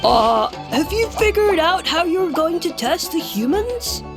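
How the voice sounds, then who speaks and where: A woman's voice: extremely high pitch, very animated, measured pace, music in the background, exaggerated voice. A woman voicing a small timid mushroom creature in a video game, speaking in clipped broken phrases. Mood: eager.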